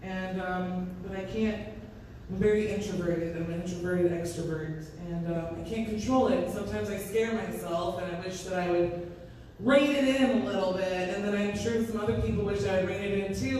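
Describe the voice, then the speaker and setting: A woman in her thirties with a raspy voice, a singer-songwriter chatting on stage between songs in a live music venue, rambling and self-deprecating. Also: medium pitch, normal speed, darkish tone, a little hiss or hum. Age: 30s. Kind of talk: casual stage banter. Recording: echoey room, mic from a distance.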